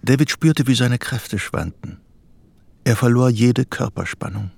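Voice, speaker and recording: A man as the narrator of a dark psychological radio play, reading scripted literary prose. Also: mid-range voice, measured pace, gravelly, faint music behind. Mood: grave, detached.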